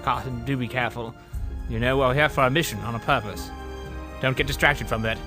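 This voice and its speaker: A man's voice: medium pitch, steady tone, a little fast, music in the background, close to an everyday voice. A man acting a fussy, cautious older professor with a hesitant, stammering manner in an audio drama. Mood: chiding, concerned.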